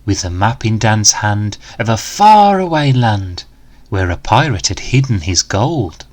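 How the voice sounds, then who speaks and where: A man reading a rhyming picture book aloud to children in an expressive, dramatic, deliberate way. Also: on the low side. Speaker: storyteller for children.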